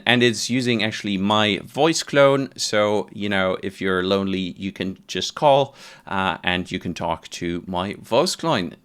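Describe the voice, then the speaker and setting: A man with a low, smooth voice walks through a software tutorial. He keeps it informal and conversational, explaining each step as a presenter would to fellow developers. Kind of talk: casual software tutorial narration.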